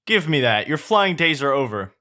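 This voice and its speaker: A man's voice: a little high, sing-song, moderate pace, sharp tone, pitched above the performer's own voice. Man playing a bossy, barking head guard. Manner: barking short orders. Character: curt, impatient, bossy male head guard.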